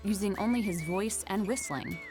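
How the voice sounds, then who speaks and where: A woman's voice: medium pitch, normal speed, over music. A woman travel host narrating a scripted voice-over in a polished, upbeat way.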